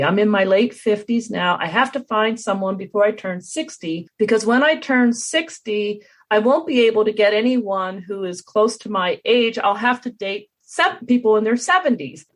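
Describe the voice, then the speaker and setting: A woman's voice: mid-range voice, lively intonation, normal speed, few pauses. A middle-aged woman hosting a podcast, chatting casually as she interviews a guest. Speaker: podcast host.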